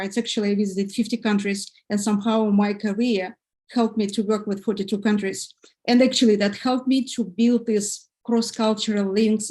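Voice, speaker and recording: A woman's voice: medium pitch, a little mellow. A woman from Kazakhstan moderating a panel in a friendly way with simple phrasing and fillers.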